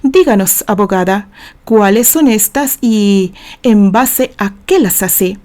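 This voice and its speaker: A Mexican woman voicing a stern male judge in an audio novel, formal, curt and measured. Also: medium pitch, darkish tone, close to an everyday voice. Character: stern, dry male judge presiding over a trial.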